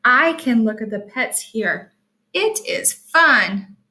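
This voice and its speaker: A cheerful, curious little girl tells her picture-book story, read by a woman. The voice is bright and a bit high, with slow, simple, expressive phrases spaced for early readers. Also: full character voice.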